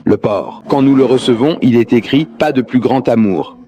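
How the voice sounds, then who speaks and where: A man's voice: mid-range voice, moderate pace, background noise. A man giving a public lecture on religion, asking the audience rhetorical questions and joking as he goes.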